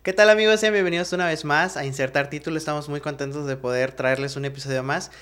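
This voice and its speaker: A man's voice: a little high, steady tone, fast talker, soft background music. Upbeat male podcast co-host. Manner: friendly, laughs often, speaks loudly to guests.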